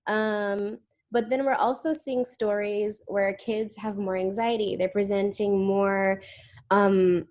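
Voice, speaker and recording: A woman's voice: medium pitch, flat delivery, takes it slowly, darkish tone. A woman answering questions in a webinar over a video call, chatty, with many fillers and restarts. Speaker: webinar speaker.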